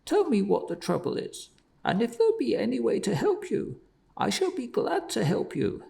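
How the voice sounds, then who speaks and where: A man voicing a kind, terrified older male merchant who pleads in a high, strained voice and gasps out whispers. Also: wildly swooping pitch, moderate pace, gravelly, dark tone, far from the mic, heavily acted. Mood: pleading. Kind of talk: storybook reading.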